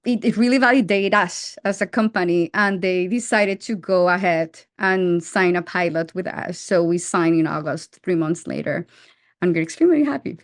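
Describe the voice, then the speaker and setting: A woman's voice: fairly low pitch, moderate pace, no room sound, studio quality. A woman in her forties with a Colombian accent, a startup founder answering interview questions with frequent restarts and fillers. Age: 40s.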